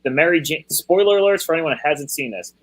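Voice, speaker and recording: A man's voice: fairly high pitch, expressive, fast talker, a little hiss or hum. A man co-hosting a casual podcast, ranting in an exasperated way. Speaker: podcast co-host. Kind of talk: casual chat.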